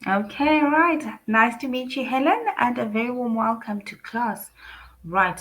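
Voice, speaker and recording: A woman with a gravelly, fry-tinged voice leads an online English class over a video call. She is encouraging and gives careful, detailed feedback to her students. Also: medium pitch, fairly bright tone, rough recording. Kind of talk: live online class teaching.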